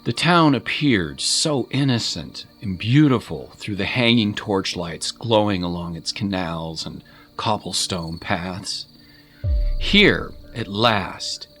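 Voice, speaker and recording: Low-voiced male story narrator. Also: fairly bright tone. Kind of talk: scripted dramatic story narration.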